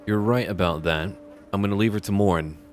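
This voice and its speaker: Low-ish male voice, roleplay let's play guy, calm and musing to himself. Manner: measured, musing, talks to himself. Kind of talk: narrated roleplay gameplay commentary.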